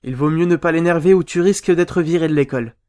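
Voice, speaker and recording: A man's voice: on the high side, fast talker, darkish tone, natural voice. A man playing a boastful, chatty dormitory chief with a casual, self-satisfied manner in an anime fan dub. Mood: informative.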